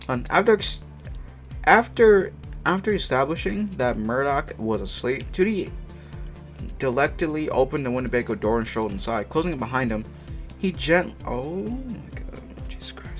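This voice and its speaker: A man with a medium-pitched voice reads fiction aloud in a loose, casual way, with music behind him. He stumbles over the text and drops in mocking, sweary commentary. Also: lively intonation, moderate pace. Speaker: amateur fan fiction reader. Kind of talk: casual read-aloud of a story with asides. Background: background music.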